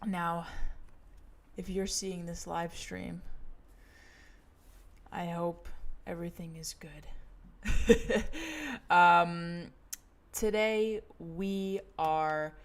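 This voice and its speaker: Young American woman host, relaxed and chatty, slow easygoing delivery full of "um"s, low-ish voice. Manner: rambling, many fillers and laughs, relaxed storytelling. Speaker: livestream travel vlogger host. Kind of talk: casual chatty livestream monologue.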